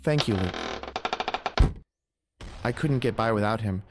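An actor playing an earnest, formal young officer and devoted husband in an audio drama. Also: male voice, lowish voice, measured pace, over music, natural voice. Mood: tender.

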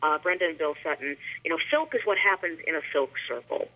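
A woman's voice: sits low, animated, quick pace, bright, forceful tone. A woman taking part as a panelist in a casual discussion, speaking over the telephone. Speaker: roundtable panelist. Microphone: telephone call. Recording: tinny narrow phone line.

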